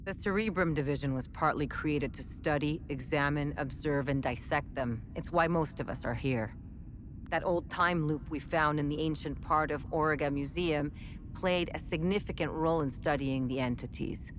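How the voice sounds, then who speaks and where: An actress playing a chatty, wry military research scientist in a video game, explaining things in a measured, conversational way. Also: female voice, deep voice, slight background noise, close to an everyday voice. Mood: matter-of-fact. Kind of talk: scripted game dialogue, conversational exposition.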